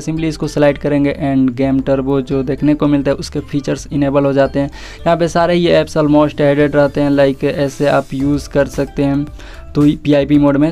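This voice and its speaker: A man's voice: a little high, dark tone. A man with an Indian accent doing a casual tech walkthrough voice-over, mixing in English words and addressing viewers directly. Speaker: tech YouTuber, host.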